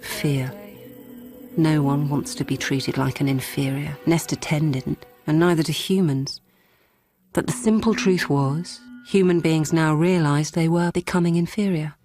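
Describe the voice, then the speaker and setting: A woman's voice: deep voice, fairly even intonation, unhurried, darkish tone. A woman playing a composed, stubborn lawyer and robot psychologist in a radio drama, wry and controlled. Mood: wry, reflective. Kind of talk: radio drama narration and dialogue. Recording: music underneath.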